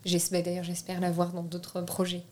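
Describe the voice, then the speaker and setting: A young woman from France, a filmmaker speaking as a guest in a relaxed interview. Her voice sits a little low. She hesitates often, fills pauses and restarts her sentences as she searches for words. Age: young adult. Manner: hesitant, many restarts and fillers. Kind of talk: casual interview.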